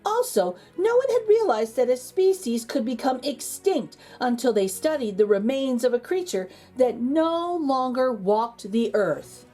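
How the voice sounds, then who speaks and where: A woman reading a children's picture book aloud as a storytime host, theatrically, with dramatic pauses and emphasis. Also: high voice, big pitch swings, warm tone, faint music behind.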